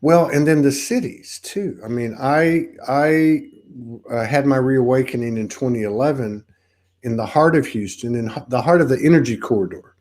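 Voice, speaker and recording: A man's voice: medium pitch, a little mellow. Rambling Texan man on a video call. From Texas. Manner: rambling, halting, many restarts. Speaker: podcast host. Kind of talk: casual spiritual interview chat.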